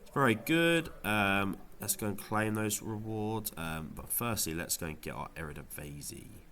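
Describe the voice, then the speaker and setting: Low-voiced man with a nasal cold. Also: animated, moderate pace, bright, forceful tone, a little hiss or hum. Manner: rambling, lots of ums and restarts. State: has a cold, sounds nasal. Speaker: fantasy football video creator. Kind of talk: casual gameweek review vlog.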